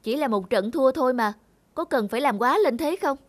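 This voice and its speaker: A woman's voice: high voice, expressive, a little fast. A woman voicing a loyal, reasonable schoolboy who tells a cartoon story about his friends. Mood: thoughtful. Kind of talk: cartoon narration and dialogue.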